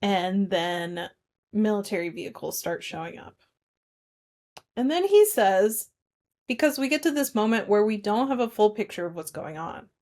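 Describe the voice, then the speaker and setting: A woman co-hosting a casual film discussion, recapping in an informal, conversational way with animated retelling. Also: mid-range voice, moderate pace. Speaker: podcast co-host.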